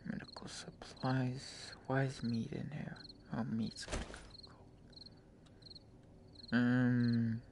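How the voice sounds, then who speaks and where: A man giving casual live gameplay commentary as a streamer, halting and talking in short phrases, often mumbling to himself. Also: mid-range voice, little pitch movement. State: frequent coughing. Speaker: game streamer. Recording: noisy home stream microphone.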